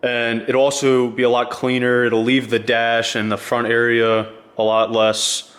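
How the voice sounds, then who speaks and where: A man's voice: medium pitch, monotone, unhurried, fairly bright tone. A man hosting a casual how-to vlog, talking in a laid-back, rambling way with lots of fillers. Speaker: channel host. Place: garage.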